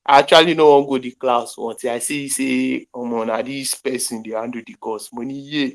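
Nigerian guy hosting a podcast over a call, gravelly voice, jokey, switches pidgin and english. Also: medium pitch, fairly even intonation, fairly quick. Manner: joking, mixes in Yoruba phrases. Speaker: podcast host. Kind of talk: casual chat in pidgin and English. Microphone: internet call.

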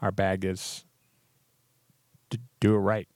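A hoarse man co-hosting a podcast, chatting casually in a laid-back, joking way. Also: sits low, level tone, slow, darkish tone, dry recording, clean studio sound. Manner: laid-back, joking, trails off mid-sentence. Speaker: podcast co-host. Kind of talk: casual chat.

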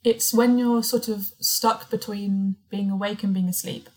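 A woman hosting a podcast, telling rambling stories in a relaxed way with dry teasing humour. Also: medium pitch, unhurried. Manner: storytelling, dry teasing, laughs mid-sentence. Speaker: podcast host. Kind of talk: casual chat.

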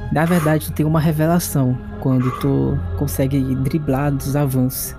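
A man, narrating a tabletop horror-comedy game as game master in a relaxed way. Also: medium pitch, normal speed, over music. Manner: relaxed, laughs easily.

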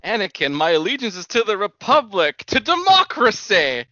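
A woman's voice: mid-range voice, sing-song, normal speed, pushed and bright, no echo, muffled recording. A woman playing a stern, grieving male warrior mentor in an amateur drama, solemn and theatrical. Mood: solemn, resolute.

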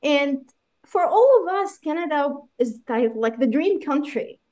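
A woman from Iraq, a pharmacist, telling her story as a guest in an interview with lively asides and many fillers. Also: high voice, unhurried. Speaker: guest, pharmacist running licensure courses. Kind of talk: conversational interview.

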